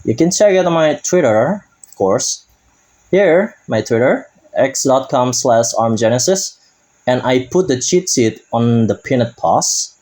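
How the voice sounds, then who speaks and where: A man casually walking through a game update as a gaming YouTuber, talking in halting English and searching for his words. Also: mid-range voice, expressive, darkish tone.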